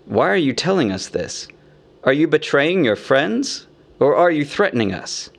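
A man's voice: medium pitch, sing-song, unhurried, vocal fry. A man voicing a grim, suspicious male warrior leader in a storybook, speaking tersely and with challenge. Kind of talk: storybook character voice.

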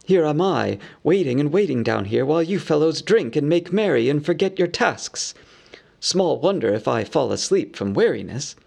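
Man voicing a grumpy old elf butler, grumbling, whispery, gravelly. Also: fairly high pitch, lively intonation, fairly quick, hardly any pauses, warm tone, pitched above the performer's own voice.

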